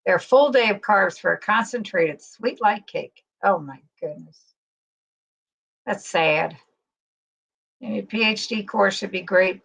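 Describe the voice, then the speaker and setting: Chatty woman host with a gravelly edge. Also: mid-range voice, a bit bright, low-quality recording. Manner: enthusiastic, chatty. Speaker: live stream host. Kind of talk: casual chat, reading viewer comments.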